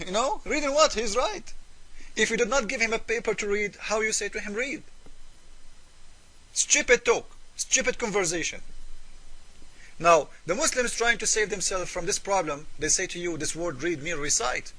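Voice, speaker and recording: High, bright-voiced man arguing emphatically in English. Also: moderate pace, low-quality recording. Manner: emphatic, repetitive, with rhetorical questions; non-native English with irregular grammar. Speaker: religious debater and presenter. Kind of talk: argumentative polemical commentary.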